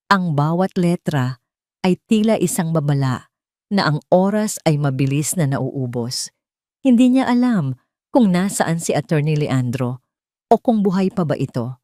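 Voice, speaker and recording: A woman's voice: deep voice, darkish tone, dry recording, studio quality. A woman narrator reading a scripted romance audio drama in a dramatic storytelling style.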